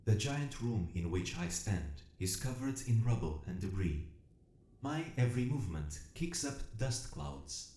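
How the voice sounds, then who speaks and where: A man plays a damaged robot narrating its own audio drama, formal and precise in a soft, mellow tone. The phrasing is measured and clinical, yet the pitch moves expressively. The voice rings in a roomy space with music behind it. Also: a little hiss or hum, lightly acted. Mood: calm, methodical. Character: damaged military robot awakening, logical, methodical, quietly curious.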